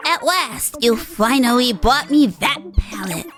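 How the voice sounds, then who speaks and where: A woman voicing a high childish little AI boss. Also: animated, music in the background. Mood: determined, pleading. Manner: halting, earnest, self-correcting. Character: childish sulky small AI end boss, good-hearted. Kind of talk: reading video game dialogue in character.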